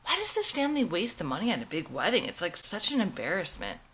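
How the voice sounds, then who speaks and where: Woman acting a blunt, sardonic young woman, bright-voiced. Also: medium pitch, wildly swooping pitch, fast, slightly rough, full character voice, rough recording. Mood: scornful. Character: outspoken, stubborn, sardonic young woman photographer.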